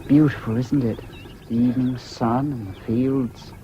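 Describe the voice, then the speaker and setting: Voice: mid-range voice, steady tone, a little slow, dark tone, lightly acted. An actor playing an earnest, politely self-deprecating, eccentric English schoolboy with a public-school accent in a radio drama. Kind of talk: 1970s BBC radio drama acting. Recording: muffled, hissy old radio broadcast.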